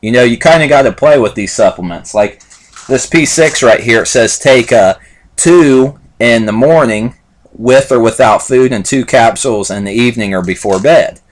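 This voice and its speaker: A man's voice: mid-range voice, normal speed. A man in his late twenties talking casually to camera in a rambling, repetitive way, with many fillers and "like I said". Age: 20s. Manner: loose and repetitive, lots of fillers and "like I said". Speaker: vlogger reviewing supplements.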